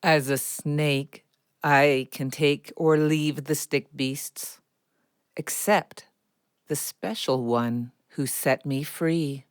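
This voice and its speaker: A woman playing a wary, brooding snake in an audio drama, speaking in a deliberate, ominous manner. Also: sits low, fairly even intonation, smooth, no room sound, studio quality. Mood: aloof.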